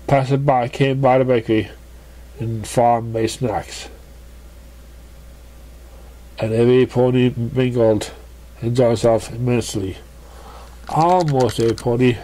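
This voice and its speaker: A man narrating a fan fiction story as an amateur reader, with halting, stumbling delivery. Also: medium pitch, fairly even intonation, dark tone, low-quality recording.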